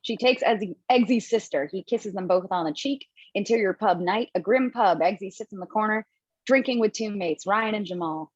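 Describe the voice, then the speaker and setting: A woman narrating stage directions in an amateur table read over a video call, brisk and quick to laugh. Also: medium pitch, no echo, lo-fi sound.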